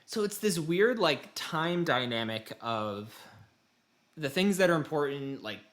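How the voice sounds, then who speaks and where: A man's voice: a little high, sing-song, unhurried, fairly bright tone. A man co-hosting a podcast, chatting casually about video games in a rambling, self-deprecating way with casual swearing. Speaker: podcast co-host.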